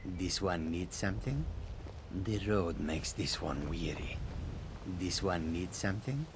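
Deep-voiced, weary cat-folk traveller. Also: male voice, lively intonation, a little slow. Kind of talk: fantasy video game dialogue. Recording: noisy outdoor game ambience.

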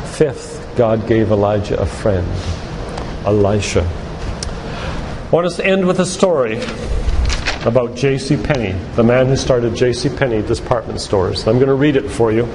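Older male church lecturer, mid-pitched, emphatic sermon delivery, long hall reverb. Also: a little slow. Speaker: doctor and guest church speaker. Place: church, live talk. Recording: echoey hall with loud background noise.

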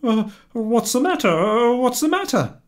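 A man's voice: very high-pitched, big pitch swings, measured pace, voice pushed up, heavily acted. A man voicing an eager, well-meaning clerk husband in a storybook, stammering and pleading. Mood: anxious.

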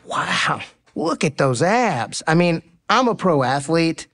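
A man's voice: on the high side, very animated, slow pace, fairly bright tone, heavily acted. An actor playing a cocky, teasing male pro baseball player in a romantic comedy audio drama. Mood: flirtatious, playful.

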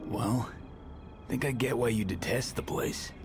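A man's voice: mid-range voice, a little slow, over music, close to an everyday voice. An actor playing a tough, wry young male mercenary in a video game, blunt and slangy. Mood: calm.

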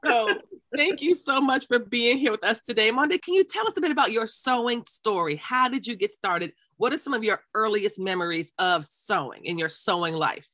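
A woman's voice: fairly high pitch, expressive, normal speed, fairly bright tone, no echo, studio quality. A woman hosting a sewing podcast interview, playful and exclamatory. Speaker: podcast host.

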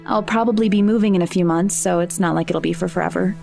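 A woman's voice: fairly low pitch, steady tone, quick pace, gravelly, a little mellow, soft background music. A woman playing an anxious, lonely seventeen-year-old girl in a naturalistic audio drama, speaking hesitantly and self-deprecatingly. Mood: upset, apologetic.

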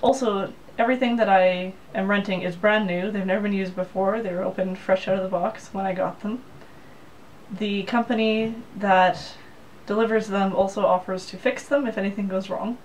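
A woman's voice: mid-range voice, steady tone, moderate pace. Young woman chatting to her camera in a little apartment room, sounds a bit far from the mic, faint hiss behind. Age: young adult. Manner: plain, practical explaining. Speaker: vlogger. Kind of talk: casual talk to camera. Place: small room in a Japanese apartment, indoors. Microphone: camera mic, head-and-shoulders framing.

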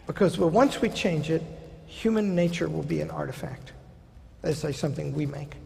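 Gravelly older man lecturing at a podium. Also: a little high, steady tone, dark tone. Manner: conversational, wry asides, slows down for quoted passages. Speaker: college president giving a lecture. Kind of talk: seminar lecture. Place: large lecture hall stage at a podium. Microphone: podium microphone. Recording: hall reverb.

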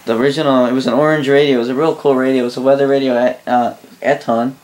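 A man giving a casual, unscripted product review in a rambling, halting way, often correcting himself, recorded indoors. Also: mid-range voice, level tone, a little mellow, low-quality recording. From Canada. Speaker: host of a radio gear review channel. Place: indoor room full of radio gear.

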